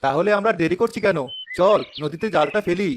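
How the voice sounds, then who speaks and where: Casting: male actor for determined rural fisherman in animated folk tale, raised pitch, quick delivery. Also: a little hiss or hum, close to an everyday voice. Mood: eager. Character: village fisherman, practical, determined family man.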